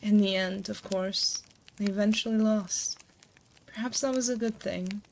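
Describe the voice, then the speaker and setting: Woman as a slow-spoken, tender ancient succubus queen. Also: mid-range voice, fairly even intonation, creaky. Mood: wistful, solemn. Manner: soothing, hushed, intimate, unhurried delivery. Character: ancient succubus demon queen, tender, motherly, gently teasing. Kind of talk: comforting ASMR roleplay. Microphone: close binaural 3Dio microphone. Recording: close binaural mic, noticeable background noise.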